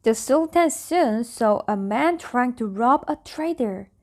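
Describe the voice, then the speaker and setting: A woman whose native language is Korean reads stories aloud. Her voice sits a little high and she takes her time over each phrase. Her English is simple and careful, with casual chat between passages. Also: expressive, slow. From South Korea. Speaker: vlogger reading stories aloud. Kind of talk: soft storybook reading and casual chat.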